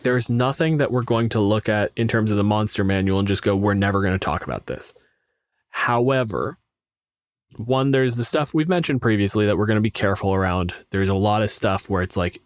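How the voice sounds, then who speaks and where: A man's voice: on the low side, normal speed, dry recording, clean studio sound. A man co-hosting a podcast in casual chat, explaining things in a wry, sweary way. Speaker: podcast co-host and gamemaster.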